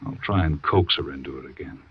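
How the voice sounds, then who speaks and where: An actor playing a cold, scheming husband in a 1940s radio thriller, speaking low and curt on a dull old broadcast. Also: male voice, fast, slightly rough, no echo, full character voice. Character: cold scheming husband, middle-aged man.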